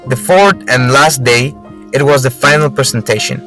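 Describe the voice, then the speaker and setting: Young man narrating over soft music. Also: medium pitch, moderate pace, poor audio. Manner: read aloud with stumbles. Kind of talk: amateur scripted radio drama narration.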